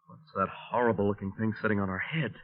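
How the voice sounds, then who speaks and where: Man as brisk young-rank cop, rapid speech, mid pitch, very noisy vintage radio recording. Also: fairly even intonation, narrow, tinny audio, lightly acted. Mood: urgent. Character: brisk, obedient male policeman under a sergeant. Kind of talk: 1940s radio drama acting.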